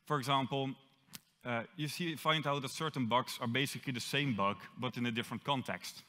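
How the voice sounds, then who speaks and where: A young man with a Dutch accent gives a conference talk on an auditorium stage, dropping in dry self-mocking jokes. His voice sounds bright and forward. A long reverb from the hall trails behind him. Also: mid-range voice, a little fast. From Netherlands. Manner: self-deprecating, lightly joking. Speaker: conference speaker.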